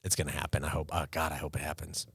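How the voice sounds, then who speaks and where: A man's voice: very deep voice, level tone, rapid-fire, a bit bright, slightly rough, no room sound, studio quality. An American man co-hosting a podcast, talking in a laid-back, casual way and swearing freely. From United States. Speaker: podcast co-host. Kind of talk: casual chat.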